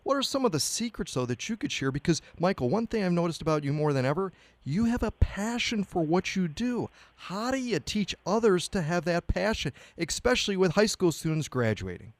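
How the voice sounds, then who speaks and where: A man's voice: high voice, sing-song, brisk, hardly any pauses, no room sound. A man hosting a radio interview, talking in an effusive, emphatic way. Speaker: radio show host, interviewer.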